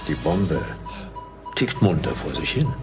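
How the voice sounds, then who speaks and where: An actor playing a cool, sardonic, self-assured private detective in radio drama dialogue, speaking in a dry, measured way. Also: male voice, rumbling low, sing-song, over music, full character voice. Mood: cool, commanding.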